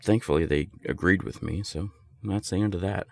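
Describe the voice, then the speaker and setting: Man playing a young serial-dater friend for audio roleplay: low gravelly voice, candid, emphatic, secretly smitten. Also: moderate pace, a little mellow, no echo. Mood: defensive. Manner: conversational, hesitant, emphatic swearing. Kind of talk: intimate audio roleplay acting.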